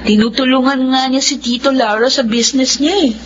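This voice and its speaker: A woman's voice: high voice, steady tone, a little fast, lightly acted. A woman acting a chatty, friendly young woman in a drama, with a Filipino accent. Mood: proud, friendly. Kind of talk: drama dialogue. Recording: muffled recording with clear noise.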